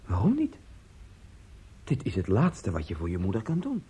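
Stern brother, radio drama: husky, mellow, admonishing and formal. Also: male voice, low-pitched, huge pitch swings, normal speed, full character voice. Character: stern, dutiful brother organising family mourning. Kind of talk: Dutch radio drama acting.

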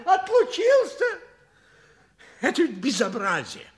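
An actor playing an irascible, wealthy older godfather in a 1960s radio play, spluttering and halting with indignation. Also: extremely high pitch, huge pitch swings, full character voice. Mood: indignant.

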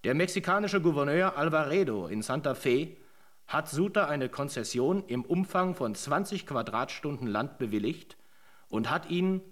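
A formal, higher-pitched radio chronicler. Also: male voice, expressive, normal speed, a bit bright. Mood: measured. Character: chronicler narrating a colonial history. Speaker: narrator. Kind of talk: scripted radio drama narration.

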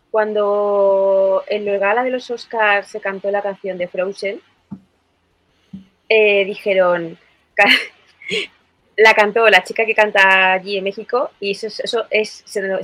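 A woman's voice: mid-range voice, fairly bright tone, no echo, poor audio. A woman with a Madrid Spanish accent, chatting animatedly on a podcast panel and laughing often. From Spain. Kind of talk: casual panel chat.

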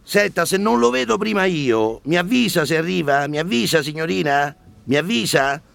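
A fussy doorman in a radio play. He stammers and insists in a gravelly voice that swings up and down, always flustered and ready to complain. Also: on the high side, animated, normal speed, fairly bright tone, full character voice. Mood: pestering, anxious. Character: flustered, complaining building doorman pestering the receptionist. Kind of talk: radio drama acting.

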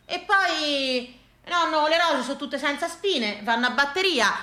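A woman with a Tuscan accent performing a theatrical monologue, colloquial, with dramatic pauses and repetitions. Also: high-pitched, bright, forceful tone. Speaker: stage performer, storyteller. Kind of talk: theatrical comic-poignant monologue. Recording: echoey room sound.

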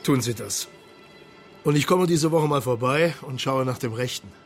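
An actor playing a courteous, plain-spoken police officer who probes quietly and matter-of-factly in a German radio play. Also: male voice, medium pitch, moderate pace, faint music behind, close to an everyday voice. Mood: reassuring. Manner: polite, reserved, matter-of-fact.

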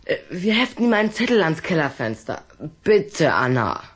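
A performer playing an earnest, easily flustered schoolboy in a children's audio drama, with a husky voice and a hesitant, slightly sulky manner. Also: medium pitch, very animated, normal speed. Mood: urgent, pleading.

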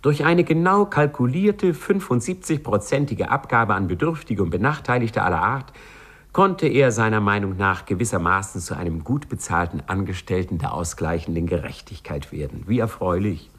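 Urbane, husky storyteller. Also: male voice, on the low side, lively intonation, moderate pace. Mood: ironic, urbane. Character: urbane, ironic male storyteller. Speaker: narrator. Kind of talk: scripted narration in a 1950s radio play. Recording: 1950s radio studio recording.

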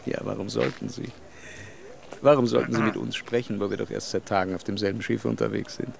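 A man's voice: on the low side, little pitch movement, a little fast, vocal fry. A man acting a suave, mocking, sinister adventurer in a radio play. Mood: amused, mocking. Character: scarred, suave, mocking German adventurer, secretly a sinister Nazi.

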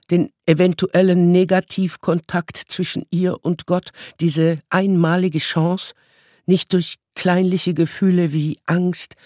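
A man narrating a radio play as storyteller of a child's magical world, with measured, dramatic phrasing. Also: high-pitched, a little mellow, no echo, studio quality.

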